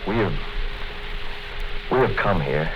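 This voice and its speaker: Very low male voice, nervous earnest rebel, hesitant, intense, 1950s radio drama, muffled noisy recording. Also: lively intonation, slow. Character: anxious, earnest secret rebel man.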